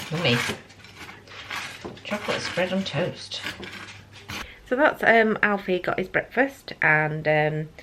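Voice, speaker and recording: A middle-aged woman talks to a handheld camera in her kitchen, her voice croaky from just waking up and sitting low for a woman. She rambles along casually, with plenty of ums and restarts. A faint hiss runs behind her. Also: fairly bright tone. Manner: rambling with many fillers. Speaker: vlogger. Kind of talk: casual morning vlog chat. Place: family home kitchen.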